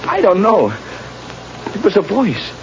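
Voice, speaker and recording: Frightened young prisoner, wildly swinging pitch. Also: male voice, huge pitch swings, exaggerated voice. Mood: dazed, desperate. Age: young adult. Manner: halting, tremulous, pleading. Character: frightened, despairing young male condemned prisoner. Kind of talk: 1930s-40s radio drama acting. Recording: noisy, muffled old radio recording.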